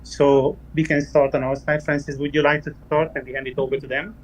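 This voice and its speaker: Male host with a foreign accent, voice a bit higher than usual, friendly and brisk, faint noise behind him. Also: little pitch movement, rough recording. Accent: non-native English. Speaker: workshop host and reader. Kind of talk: hybrid group meeting, reading aloud.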